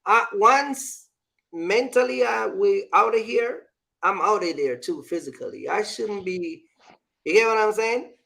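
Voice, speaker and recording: Man, higher voice, casual opinionated host, repeats phrases, checks for understanding. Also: lively intonation, unhurried, creaky, bright, forceful tone, rough recording. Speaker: show host.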